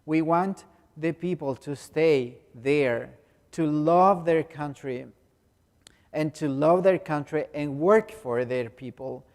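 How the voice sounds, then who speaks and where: A Salvadoran pastor, a man who grew up speaking Spanish, talking in halting English with a Spanish accent and many restarts. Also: a little high, in a large hall. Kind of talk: speaking to a congregation in non-native English.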